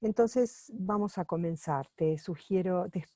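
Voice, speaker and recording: A woman reading a book aloud while translating it on the fly, pausing often with fillers and restarts. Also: on the low side, mellow, no echo, studio quality. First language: not Italian. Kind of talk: unscripted sight-translation reading.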